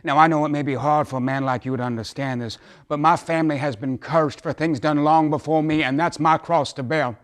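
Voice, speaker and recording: A man acting a troubled, folksy father who pleads earnestly in a theatrical dramatic monologue, halting at times and impassioned at others. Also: on the high side, steady tone, natural voice.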